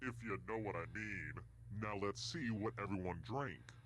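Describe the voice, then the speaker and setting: An actor playing a gleeful, sadistic killer who hosts a deadly trivia video game with theatrical, mock-polite sarcasm. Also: male voice, on the low side, expressive, normal speed, natural voice. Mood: sardonic glee. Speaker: game show host villain. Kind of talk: scripted video game host narration.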